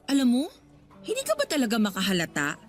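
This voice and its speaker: A woman's voice: on the high side, wildly swooping pitch, fast. An actress playing an exasperated, sulky young working girlfriend with a Filipino accent, huffy and nagging in a radio drama. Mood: sulky, annoyed. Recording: background music under the voice.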